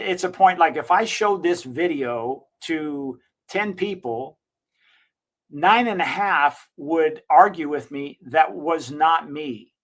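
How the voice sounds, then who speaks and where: A man who owns a digital marketing agency, giving an enthusiastic, rambling software walkthrough and correcting himself as he goes. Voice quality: slightly higher-pitched voice. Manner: enthusiastic, recommending. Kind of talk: casual screen-share software walkthrough.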